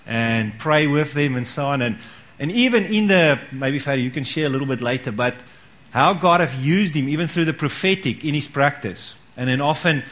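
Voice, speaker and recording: A man's voice: fairly high pitch, animated, moderate pace, a bit bright. Man leading church testimonies. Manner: encouraging, repeats praise words. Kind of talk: casual church meeting talk.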